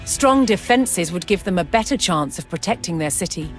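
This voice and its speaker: A woman reads scripted historical narration for a game in a measured, dramatic storytelling manner, with deliberate pauses. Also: fairly low pitch, animated. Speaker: documentary-style game narrator. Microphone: studio voice-over microphone. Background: music.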